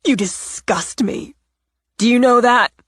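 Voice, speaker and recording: A woman voicing a tearful, frantic young woman who pleads in a high, sobbing voice. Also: takes it slowly, a bit bright, no room sound, clean studio sound. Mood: furious.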